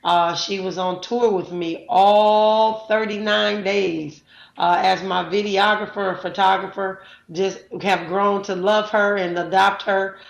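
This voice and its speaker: A woman's voice: medium pitch, fairly even intonation, measured pace, creaky, echoey room, poor audio. A woman hosting a live audio chat room, talking casually with many fillers and introducing guests. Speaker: host.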